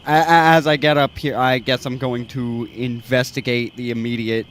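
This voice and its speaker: A man's voice: mid-range voice, level tone, moderate pace, a bit bright, slight background noise. A man playing a tabletop roleplaying game, chatting casually and cracking jokes and puns. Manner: joking, punning.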